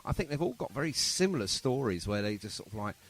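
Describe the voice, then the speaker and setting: A man's voice: medium pitch, sing-song, quick pace, a little hiss or hum. A man hosting a chatty radio interview in a jovial, encouraging manner. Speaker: radio show host.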